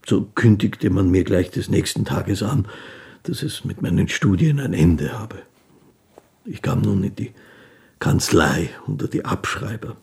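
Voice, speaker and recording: An actor playing a poor, gentle, devout old fiddler who recalls his life in a halting, reverent monologue for a radio play. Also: deep voice, lively intonation, vocal fry, full character voice. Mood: wistful.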